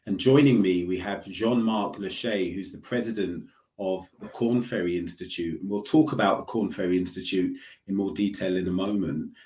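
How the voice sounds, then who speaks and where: A man hosting an online conference session, speaking in a polite, measured, formal way. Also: deep voice, darkish tone. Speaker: host. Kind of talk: webinar hosting. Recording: roomy echo.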